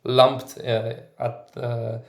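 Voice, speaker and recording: A man of middle pitch chats casually as a podcast guest, answering with dry humour. His voice carries a noticeable roomy echo. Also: completely flat delivery, extremely slow, creaky. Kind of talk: casual chat.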